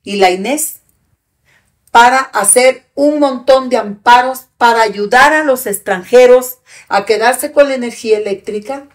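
An elderly woman in her eighties with a somewhat high voice rails away in fiery, profane indignation. She speaks close to a podcast microphone in a small studio. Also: a little slow. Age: 80s. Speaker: podcast guest. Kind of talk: heated interview talk. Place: small studio with brick wall and sofa. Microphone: podcast mic on a stand in front.

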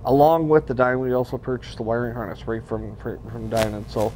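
A man giving hands-on instruction in a workshop, talking viewers through his work in a relaxed, practical way while he works at the bench. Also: medium pitch, brisk, a little hiss or hum, poor audio. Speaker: aircraft builder demonstrating.

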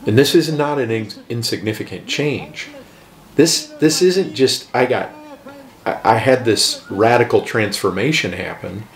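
A man's voice: medium pitch, animated, measured pace, reverberant. A middle-aged American man, a pastor, talking earnestly in an interview in a living room. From United States. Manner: earnest, emphatic, gestures with open hands. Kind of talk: documentary interview.

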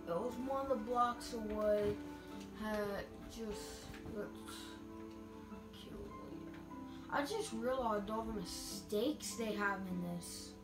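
A woman giving casual, halting gameplay commentary, her voice a little scratchy from getting over being sick. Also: mid-range voice. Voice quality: slightly scratchy. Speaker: gamer narrating gameplay. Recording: distant roomy mic, music behind.

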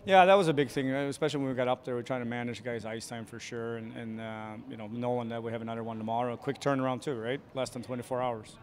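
A man in his forties, a hockey coach answering postgame questions quickly and plainly, with many fillers, into a handheld mic in an arena hallway. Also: mid-range voice, fairly even intonation. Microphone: handheld reporter mic.

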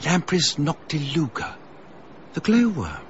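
A man's voice: fairly high pitch, wildly swooping pitch, slow pace, exaggerated voice. A well-meaning British know-all of an ex-army colonel in a radio drama. He is polite and a touch pompous, with very varied intonation. The recording is somewhat dull with noise in the background. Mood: hushed, wondering. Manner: polite, hesitant, slightly pompous.

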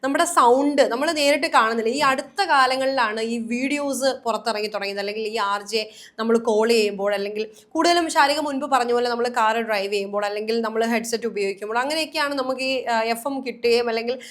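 A woman's voice: a little high, brisk, fairly bright tone. A woman hosting a conversational news discussion, wordy and often rephrasing her points.

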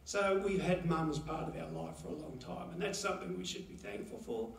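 A man gives a halting, pause-filled eulogy for his mother at a chapel lectern. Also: fairly high pitch, steady tone, vocal fry, slight background noise. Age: 70s. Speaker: son giving a eulogy. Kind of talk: memorial eulogy. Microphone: lectern mic, heard from a far camera. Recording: roomy chapel echo from far off.

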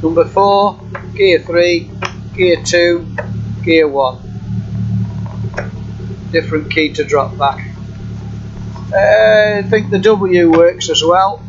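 A man giving an informal, unscripted walkthrough of software in a halting, matter-of-fact way. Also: high-pitched. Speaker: software demonstrator. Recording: muffled, loud engine hum and noise. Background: running car engine hum.

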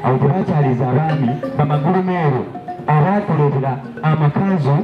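Ugandan man narrating over loud drums. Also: a little high, fast talker, music in the background. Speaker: cultural presenter. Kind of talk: narrating a cultural dress show. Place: outdoor lawn at a campus gala. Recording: echoey, dull outdoor PA with loud drums.

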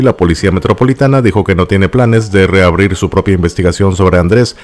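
A man narrating tabloid news, with playful asides and sudden jumps up in pitch. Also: darkish tone. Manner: jumps up in pitch on aside phrases, uses cute diminutives.

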